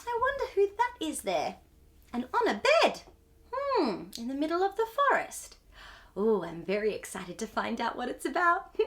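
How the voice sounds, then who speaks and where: Animated, high-voiced woman reading to children. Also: normal speed. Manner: wide-eyed wonder, exclamations and gasps between passages. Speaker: storytime host reading a children's book. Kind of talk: expressive read-aloud for young children.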